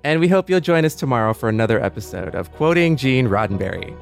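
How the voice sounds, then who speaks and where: A man's voice: medium pitch, sing-song, quick pace, gravelly, music in the background. A man co-hosting a podcast in a casual, reflective conversational style. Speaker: podcast co-host.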